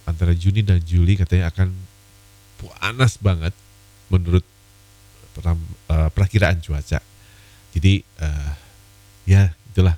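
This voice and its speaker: A man hosting an evening radio request show, a little hoarse from a cold, chatting casually with many fillers. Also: deep voice, mellow, poor audio. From Indonesia.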